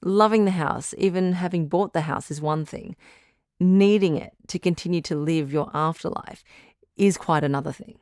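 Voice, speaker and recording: Phone-in advice host, played by a woman: patient, reassuring woman doctor, low-pitched, dry studio sound. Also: a little mellow, dry recording, natural voice. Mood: calm, tactful. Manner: measured, tactful, reassuring. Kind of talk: audio drama phone-in advice.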